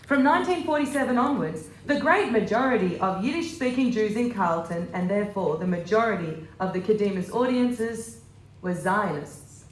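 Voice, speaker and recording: A woman giving a scripted dramatic reading, recorded live in an echoey hall. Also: medium pitch.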